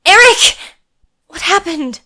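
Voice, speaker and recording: A woman's voice: very high-pitched, animated, slow, sharp tone, full character voice. A woman playing a headstrong young opera singer, defiant yet caring, in an amateur audio drama. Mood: angry, insistent.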